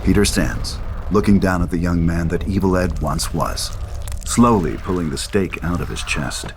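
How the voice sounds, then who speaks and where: A man's voice: low-pitched, normal speed, background noise. A man reading stage directions as the narrator of a dramatic screenplay reading.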